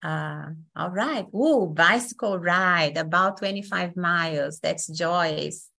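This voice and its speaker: A woman from Brazil with a Brazilian accent, hosting an online session as facilitator in a friendly, unhurried way with many fillers. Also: fairly low pitch, gravelly, sharp tone.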